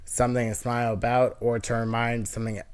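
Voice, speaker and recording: A man's voice: mid-range voice, little pitch movement, quick pace, smooth. A man with a nasal voice, a guest on a casual podcast, talking earnestly in a rambling way with many restarts. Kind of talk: casual interview chat.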